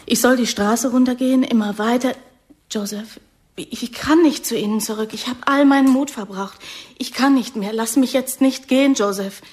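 A young woman caught up as a reluctant agent in a radio thriller. Her voice is fairly high, vulnerable and under strain. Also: steady tone, normal speed, a little mellow, breathy edge. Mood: exhausted, pleading. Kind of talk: radio drama acting.

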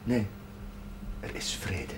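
An actor playing an earnest, patient and compassionate village chaplain in a Dutch television period drama. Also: male voice, slow pace, close to an everyday voice. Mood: hushed, consoling. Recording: faint background noise, old TV soundtrack.

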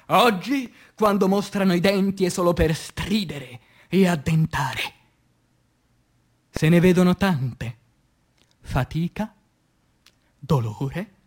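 A man playing an anguished, passionate revolutionary poet in a declamatory radio theatre recitation, with dramatic pauses and swings between hushed and loud delivery. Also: high voice. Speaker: stage actor.

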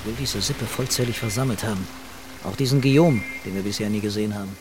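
An actor playing a dogged male police commissioner with a husky voice in a radio crime drama. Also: on the low side, fast, noisy background, lightly acted. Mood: dry, insistent.

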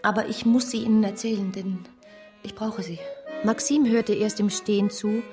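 An actress in a German radio drama playing an earnest, genteel lady who tells her story gravely and with composure. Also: medium pitch, fairly even intonation, moderate pace, over music, lightly acted. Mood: anxious. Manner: composed, grave, well-spoken. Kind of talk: German radio drama acting and first-person narration.

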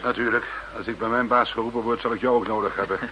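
An actor playing a steady investigator in a radio drama, plain-spoken. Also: male voice, fairly low pitch, quick pace, a little hiss or hum, lightly acted. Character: steady, loyal male investigator. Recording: dull lo-fi radio drama recording.

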